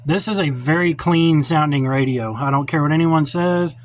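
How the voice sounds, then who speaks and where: A man's voice: a little high, normal speed. A middle-aged man giving an informal, unscripted how-to demonstration in a blunt, opinionated, plainspoken way. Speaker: radio hobbyist giving a tutorial. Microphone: cell phone held or set up while filming. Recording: noisy cell phone recording.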